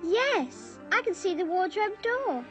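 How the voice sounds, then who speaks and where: A female performer playing a polite, earnest, tender-hearted little girl in an animated fantasy. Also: very high voice, slow pace, over music, full character voice. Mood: gentle concern. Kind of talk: animated fantasy film acting.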